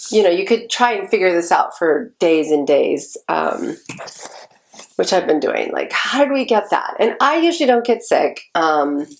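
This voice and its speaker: A woman in her forties, stuffy and congested, chatting casually to the camera in a rambling way with lots of ums. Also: mid-range voice. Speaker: vlogger.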